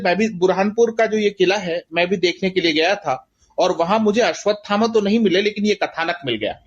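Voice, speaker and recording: Male author guest, notably high voice, formal and articulate, explanatory interview storytelling. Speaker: guest novelist.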